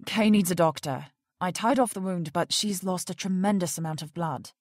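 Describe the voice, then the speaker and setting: A woman's voice: lowish voice, moderate pace, darkish tone, dry recording, clean studio sound, lightly acted. An actress in an audio drama playing an indignant, strong-willed woman who works at a research facility. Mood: brisk.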